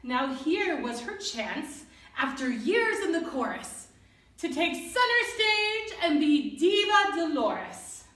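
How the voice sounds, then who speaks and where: A woman reading a children's story aloud expressively and deliberately, pausing to put questions to young listeners. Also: sits high, very animated, fairly bright tone. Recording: roomy echo, distant mic.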